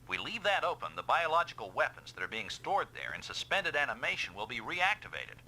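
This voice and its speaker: A man playing a brisk, impatient commander of a mech squad in a dubbed video game. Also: medium pitch, huge pitch swings, vocal fry, slight background noise, full character voice, rough recording. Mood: urgent, commanding. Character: gruff, commanding male mech squad boss. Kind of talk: anime-style video game dub acting. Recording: loud game music behind. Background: loud music.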